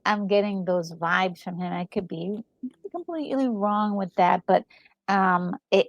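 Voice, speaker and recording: A woman giving casual reaction commentary on a game, thinking out loud in a conversational, musing way. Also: mid-range voice, no room sound, lo-fi sound. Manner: conversational, musing, trailing thoughts. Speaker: gaming video co-host.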